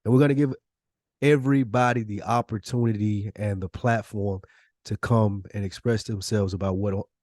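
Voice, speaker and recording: A man's voice: fairly low pitch, steady tone, moderate pace, darkish tone, no echo, clean studio sound. An American man co-hosting a podcast in casual, slangy chat, with a blunt, emphatic way of talking. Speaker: podcast co-host.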